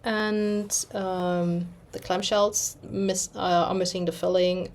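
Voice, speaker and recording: A young German woman chatting casually in English, rambling a little and pausing to search for words as she shows her stitching projects. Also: on the low side, level tone, a little mellow. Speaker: cross-stitch vlogger. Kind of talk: casual chat.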